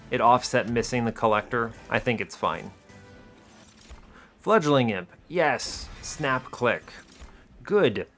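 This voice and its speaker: A man's voice: medium pitch, fairly bright tone. Man thinking aloud over music, wry and casual. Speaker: video game streamer explaining his play.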